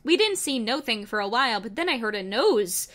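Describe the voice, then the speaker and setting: A woman's voice: on the high side, sing-song, fairly quick, a bit bright. A woman narrating amateur fan fiction as a dramatic comic reading, in an earnest, melodramatic manner.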